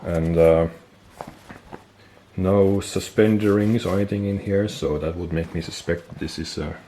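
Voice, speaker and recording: A man with a stuffy nose, casually explaining military gear on camera, relaxed and thinking aloud with fillers and self-corrections. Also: sits low, a little slow, slight background noise. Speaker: military gear collector and video host. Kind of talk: casual show-and-tell explanation.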